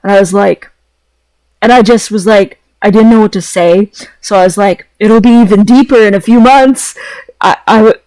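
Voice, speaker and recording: A man's voice: sits high, moderate pace, darkish tone, smooth, dry recording, low-quality recording. A man talking in a casual, rambling vlog style, hesitant, often repeating phrases and trailing off mid-thought. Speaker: vlogger.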